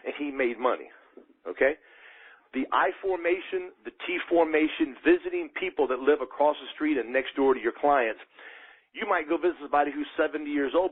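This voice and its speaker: A man's voice: medium pitch, slightly rough. A man giving an emphatic motivational sales talk as a team leader, exhorting his listeners directly over a conference call. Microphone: telephone. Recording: muffled, narrow phone conference line.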